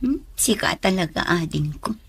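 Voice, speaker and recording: A woman's voice: lowish voice, animated, moderate pace, gravelly, breathy edge, full character voice. A woman acting a bashful, protesting grown daughter in a radio drama.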